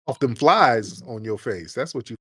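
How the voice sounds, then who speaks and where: A man's voice: medium pitch, expressive, normal speed, sharp tone, breathy edge. A man hosting a live call-in stream, mocking and combative, tacking a pointed "sir" onto his jabs. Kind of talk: confrontational call-in show.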